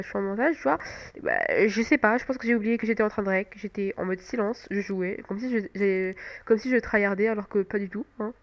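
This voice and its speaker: A young woman with a cold-stuffed nose, chatting casually over a game she plays and often making fun of herself. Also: on the high side. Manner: chatty, self-mocking, laughs often. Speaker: gaming channel host. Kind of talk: casual gameplay commentary.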